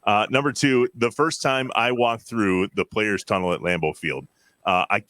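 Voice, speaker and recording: An American man with a lowish voice that cuts through brightly. He co-hosts casual sports talk and tells his stories with emphatic enthusiasm. The sound is close and dry. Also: normal speed, no room sound. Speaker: sports show co-host.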